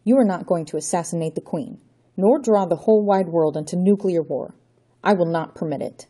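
A woman's voice: fairly low pitch, a little fast, warm tone, husky. A woman voicing a desperate young male hero who speaks with urgent, pleading intensity in a raised voice. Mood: firm.

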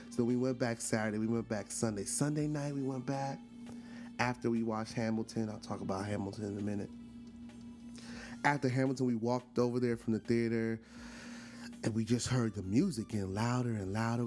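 A man's voice: mid-range voice, steady tone, moderate pace, music in the background. A man in his forties from Brooklyn, hosting a casual storytelling monologue, chatty with lots of fillers and restarts, often turning to ask his listeners questions. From Brooklyn, New York. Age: 40s. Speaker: podcast host.

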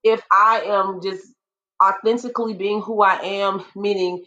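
Woman panelist, deep voice, earnest and assertive. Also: steady tone, measured pace, sharp tone, highs cut off. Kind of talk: casual discussion.

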